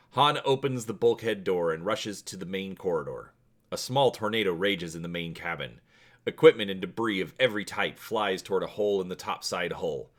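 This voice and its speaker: A man hosting a story channel and reading an adventure aloud in a dramatic storytelling style. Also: sits low. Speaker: host and narrator of a story channel.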